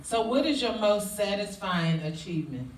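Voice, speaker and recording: A man interviews a guest, briskly working through a list of questions in a slightly high voice. He sounds distant from the mic. The room echoes, with a faint hiss behind. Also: big pitch swings. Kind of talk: casual Q&A interview.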